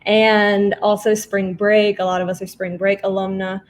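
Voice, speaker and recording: A woman's voice: mid-range voice, flat delivery, normal speed. A woman hosting an online artists' panel, introducing guests in a conversational way over a video call.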